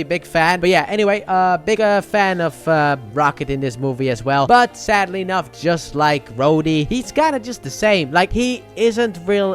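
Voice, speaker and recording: A man's voice: on the high side, fairly bright tone. A man giving a casual, unscripted movie review, opinionated and emphatic, repeating phrases for stress. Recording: music playing under the voice. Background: background music.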